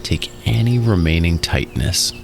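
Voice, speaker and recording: A man narrating a guided relaxation in a soothing, instructional way, in short phrases with pauses. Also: sits low, animated, gravelly. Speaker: guided meditation host. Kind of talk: guided meditation and hypnosis narration. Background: music.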